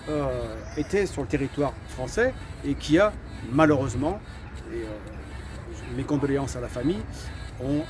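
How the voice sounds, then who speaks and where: A man's voice: on the high side, background noise. A French man of Algerian heritage giving his opinions in casual talk, hesitant, repeating words and often saying "voilà". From Algerian heritage, raised in France. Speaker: channel host giving opinions.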